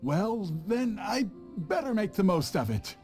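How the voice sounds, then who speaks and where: A man's voice: sits high, big pitch swings, measured pace, a little mellow, faint music behind, full character voice. A man voicing a cowardly, scheming visiting merchant in a game, stammering and panicky. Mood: nervous.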